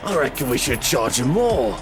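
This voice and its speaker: A man voicing a rough, hot-tempered male goblin who swears and threatens. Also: mid-range voice, very animated, moderate pace, over music, natural voice. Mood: snarling. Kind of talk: audio drama roleplay.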